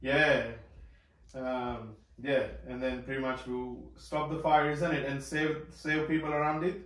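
A man's voice: medium pitch, little pitch movement, measured pace, fairly bright tone. A man chatting casually as he plays along with a child, asking simple prompting questions, recorded across a living room. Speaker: parent hosting a family toy video. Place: large living room with hard wooden floor. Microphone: camera several metres away. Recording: echoey room, distant mic, background noise.

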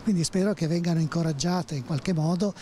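Older man, mellow, soft-spoken street interviewee. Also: a little high, a little fast, slight background noise. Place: sunny street outdoors. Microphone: handheld interviewer mic.

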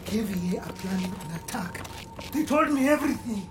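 An actor playing a terrified, pleading technologist informant in a video game, speaking haltingly and fearfully. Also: male voice, high voice, animated, noisy background. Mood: frightened, pleading. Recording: music behind the voice.

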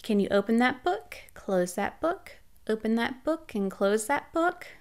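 A woman's voice: on the high side, expressive, a little slow. A young woman librarian leading a children's storytime, talking cheerfully in a sing-song way to young listeners from a small room at home. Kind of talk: children's storytime with songs and read-aloud. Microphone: large studio mic on a boom arm. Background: ukulele.

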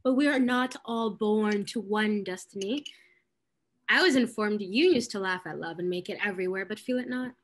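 A woman plays a sharp-tongued young lady of period stage comedy. She is proud and disdainful, speaking at a medium pitch with cool, pointed theatricality. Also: moderate pace, lightly acted. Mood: cool, mocking. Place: indoors at home on video call.

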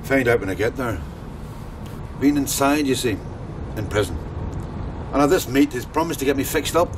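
An actor playing a polite, down-to-earth ex-convict with a clipped way of talking, in a naturalistic radio drama. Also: male voice, animated, normal speed, natural voice. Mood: matter-of-fact. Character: plain-spoken, polite ex-convict hitching a lift. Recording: noisy car interior, vehicle rumble. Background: moving vehicle noise.

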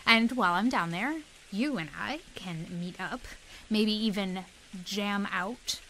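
An actress playing a warm, tentative young woman who works at a cafe, in a naturalistic audio drama. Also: medium pitch, takes it slowly, a little hiss or hum, low-quality recording. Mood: playful, eager.

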